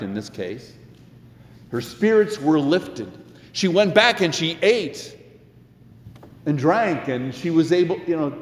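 A man preaching a sermon as a pastor, with deliberate, emphatic phrasing and conversational asides. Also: a little high. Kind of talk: sermon, expository storytelling with quoted scripture. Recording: echoey hall with long reverb.

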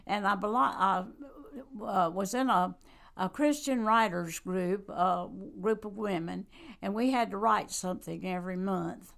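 A woman, talking slowly in a casual interview as a guest and author, with many pauses and fillers. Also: medium pitch, creaky, fairly bright tone. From North Carolina. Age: 90s. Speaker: guest, church member and author.

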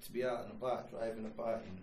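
Quick man off-mic. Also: fairly low pitch, steady tone. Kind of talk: casual banter. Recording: distant, echoey, noisy.

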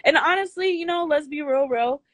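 An older woman with a high, girlish voice, speaking bluntly and emphatically as an interview guest, swearing freely. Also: moderate pace, fairly bright tone, dry recording. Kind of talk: candid interview talk.

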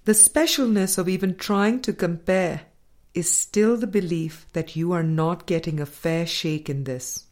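Slow-paced, lower-voiced woman reading aloud. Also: darkish tone. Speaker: audiobook reader. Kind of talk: scripted reading of a spiritual self-help book.

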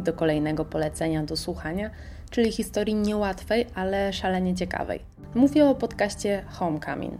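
A woman reading a scripted radio segment that recommends podcasts, in a measured and enthusiastic way. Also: medium pitch, mellow.

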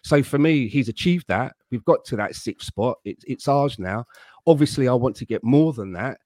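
Measured man, dry sound. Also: medium pitch, animated, a little mellow, no echo. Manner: measured, careful, thinks aloud with restarts. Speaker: fan panel guest. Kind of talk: casual football fan chat.

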